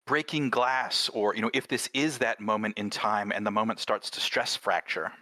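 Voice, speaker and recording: A man's voice: fairly low pitch, steady tone, moderate pace, pushed and bright, no echo. A man talking as a co-host and creator, explaining his projects in a casual, conversational way.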